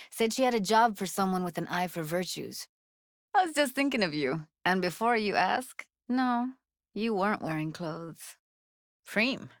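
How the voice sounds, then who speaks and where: A woman's voice: on the low side, no room sound, clean studio sound, lightly acted. An actress voicing a tough, guarded young woman techie in a cyberpunk video game, speaking in casual, clipped slang with a wry edge. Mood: playful, wry. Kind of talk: naturalistic video game dialogue, isolated voice lines.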